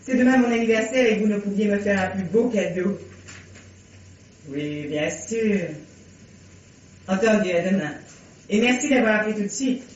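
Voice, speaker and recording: A woman's voice: mid-range voice, moderate pace, long pauses, a little mellow, natural voice. An actress playing a self-assured, blunt woman novelist in a radio mystery play, with articulate theatrical delivery. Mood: delighted. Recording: dull, roomy, noisy old radio recording.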